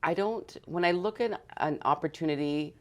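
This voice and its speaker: This is a woman in her fifties, originally from northern Greece. Her voice sits low, and she talks with emphatic confidence. She circles back to stock phrases as she makes her points in a relaxed interview. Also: normal speed. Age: 50s. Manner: emphatic and confident, repeats "you know what" and "at the end of the day". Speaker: mortgage broker guest. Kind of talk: candid interview conversation.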